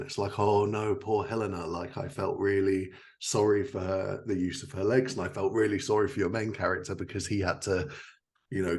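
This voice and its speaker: A man's voice: deep voice, gravelly, darkish tone. A man hosting a podcast in a casual, anecdotal chat style, with jokey asides and self-corrections. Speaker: podcast host, fiction writer.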